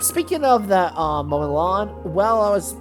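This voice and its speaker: A woman's voice: mid-range voice, sing-song, normal speed, over music. A woman hosting a gaming channel, sounding congested and nasal from allergies, chatting casually in a meandering way with lots of fillers and self-corrections. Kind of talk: rambling casual chat over gameplay.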